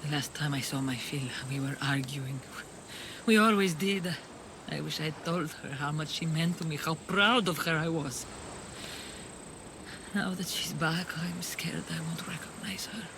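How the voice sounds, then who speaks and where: A woman's voice: low-pitched, measured pace, background noise. An actress playing a caring, regretful, seasoned rebel woman in a video game. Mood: regretful, tender. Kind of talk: video game cutscene acting.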